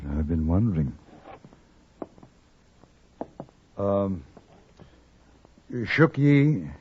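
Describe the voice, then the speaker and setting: Courteous gentleman, very expressive pitch. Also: slow, a little hiss or hum, exaggerated voice. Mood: hesitant. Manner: polite, precise, reserved. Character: courteous, cool-headed gentleman adventurer in the Old West. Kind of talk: 1950s radio drama acting and narration. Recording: dull old 1950s radio recording.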